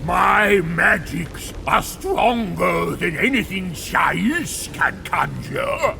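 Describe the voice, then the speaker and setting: Gravelly, gleeful plague sorcerer, very slow. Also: male voice, high-pitched, animated, bright, forceful tone, a little hiss or hum, heavily acted. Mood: defiant. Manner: theatrical, savouring, archaic diction. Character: gleefully devout plague sorcerer of a rot god, sinister and unhurried. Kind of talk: fantasy game villain acting.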